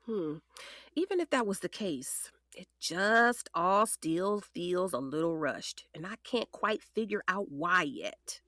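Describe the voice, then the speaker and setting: Bright, animated female defense attorney. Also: lowish voice, takes it slowly, no echo, clean studio sound. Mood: pensive. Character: sharp, determined female defense attorney, sarcastic yet caring. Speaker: actor in audio drama. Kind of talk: audio drama acting.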